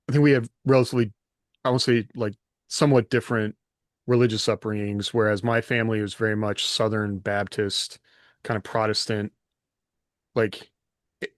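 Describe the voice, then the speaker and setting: A man's voice: lowish voice, no echo. Middle-aged man, dry close podcast sound. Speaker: podcast host, writer. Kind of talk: casual podcast conversation.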